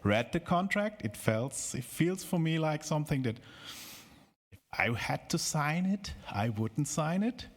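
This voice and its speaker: A man speaking up from the audience at a conference, halting with many restarts and joking asides. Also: fairly high pitch, very animated. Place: conference hall.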